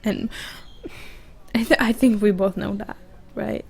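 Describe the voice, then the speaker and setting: A woman playing a vulnerable young woman confessing love in an intimate ASMR roleplay, halting and hesitant, often repeating her words. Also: mid-range voice, fairly even intonation, creaky, darkish tone, slightly rough. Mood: torn, yearning.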